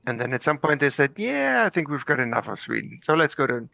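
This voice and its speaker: A man's voice: medium pitch, big pitch swings, quick pace, creaky, bright, forceful tone, no echo, lo-fi sound. A man from Germany explaining things conversationally through personal anecdotes. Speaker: group call participant.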